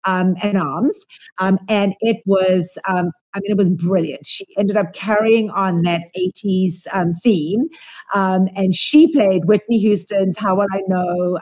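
A woman's voice: medium pitch, a little mellow. Casting: South African woman, forties, talkative co-host, webcam sound from a room at home. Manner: chatty, lots of ums, enthusiastic. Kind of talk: casual chat. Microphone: webcam on a video call.

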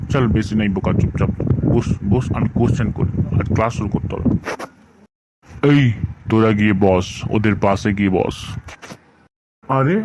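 A gruff, older schoolmaster from a comedy cartoon , acted by a man, speaking in a low voice. He is bossy and exasperated, scolding with insults. Faint noise sits behind him. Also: highs cut off, close to an everyday voice.

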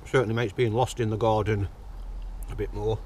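A man giving dry, deadpan, casual commentary in short phrases as he cooks outdoors. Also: fairly low pitch, brisk. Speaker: vlogger. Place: small brick-walled garden corner, outdoors. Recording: distant mic, noisy outdoor ambience.

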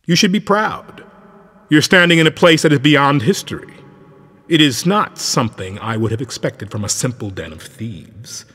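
An actor playing a menacing, condescending game villain with haughty, deliberate delivery. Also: male voice, medium pitch, lively intonation, bright, forceful tone, far from the mic. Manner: slow, haughty, deliberate threats. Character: menacing, condescending male villain, coldly patient. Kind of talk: scripted video game villain lines. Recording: echoey hall with long reverb.

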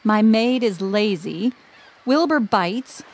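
A woman's voice: mid-range voice, animated, takes it slowly. An actress playing a wry, affectionate middle-aged mother and wife in an audio drama. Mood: wry, resigned. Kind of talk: family audio drama acting.

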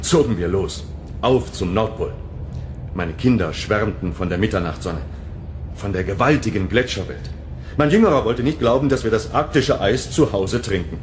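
An actor playing a concerned, practical man who shelters a fugitive in a German sci-fi audio drama, speaking earnestly. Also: lightly acted. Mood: reminiscing. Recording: clear background noise, rumbling ambience.

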